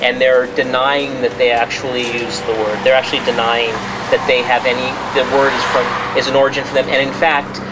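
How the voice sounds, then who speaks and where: A mid-pitched man talks through his findings at a press conference held in a restaurant. He is emphatic, often restarting and repeating himself as he argues. The background noise is clear under his voice. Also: little pitch movement, fairly quick, hardly any pauses. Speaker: journalist giving a press conference. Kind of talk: spontaneous press-conference talk.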